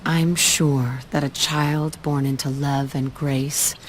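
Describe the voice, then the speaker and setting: A woman playing a composed, dutiful watcher, narrating a video game cutscene in a calm, reflective way. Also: a bass voice, takes it slowly. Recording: clear background noise behind the voice.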